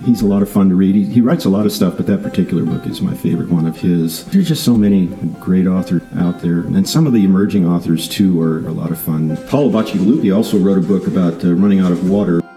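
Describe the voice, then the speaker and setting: A man's voice: low voice, quick pace, creaky, hardly any pauses, mellow, faint music behind, poor audio. An older man, a retired scientist turned author, chatting in a rambling way with many fillers and restarts. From Southern California. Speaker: guest author. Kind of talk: casual interview chat.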